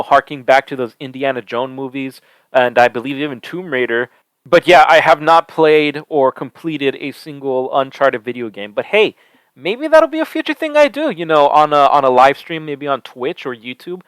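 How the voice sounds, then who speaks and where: A man's voice: on the high side, a bit bright, clean tone, no room sound, low-quality recording. A man hosting a casual review for an online channel, talking to viewers in a rambling, conversational way with emphatic repeats. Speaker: YouTube movie reviewer, channel host.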